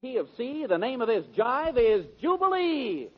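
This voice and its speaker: A man's voice: extremely high pitch, very animated, normal speed, dull audio, heavy background noise. An American man announcing a 1940s radio show in punchy, theatrical jive slang on an old recording. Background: music.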